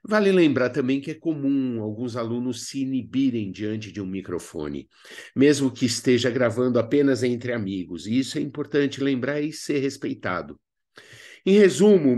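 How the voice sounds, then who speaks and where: Animated Brazilian man teaching. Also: mid-range voice. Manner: didactic, expressive, colloquial asides. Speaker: drama teacher presenting a video lesson. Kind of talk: instructional narration with acted examples.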